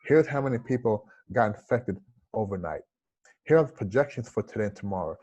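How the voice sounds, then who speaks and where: A man's voice: lowish voice, expressive, a little fast, dark tone, slightly rough, low-quality recording. A man in his forties with a slight lisp, an interview guest telling his story in an earnest, reflective way over a video call from home. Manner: earnest, reflective, gestures with hands.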